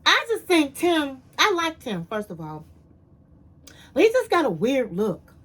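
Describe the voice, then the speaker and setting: A woman's voice: sits high, unhurried. A woman casually recapping a reality show, telling it like a story, acting out the quotes and calling her viewers queens. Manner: animated storyteller, acts out quotes, says "honey" and "my queens".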